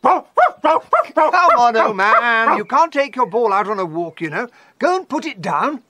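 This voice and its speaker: An actor playing a genial, wry gentleman in a radio drama, with a jovial, old-fashioned manner. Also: very high-pitched, very animated, measured pace, full character voice. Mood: jovial, affectionate. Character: genial, wry English gentleman, detective's companion. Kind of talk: radio drama acting and narration.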